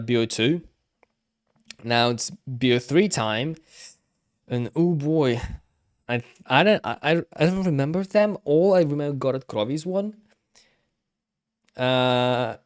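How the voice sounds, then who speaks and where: A man giving casual live-stream commentary, halting and repeating himself as he second-guesses his opinions. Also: mid-range voice.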